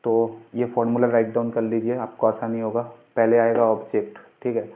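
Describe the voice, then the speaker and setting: A man's voice: mid-range voice, monotone, fairly quick. A man teaching as an informal grammar tutor, instructive, asking questions and answering them himself. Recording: muffled, phone-like, narrow-band sound.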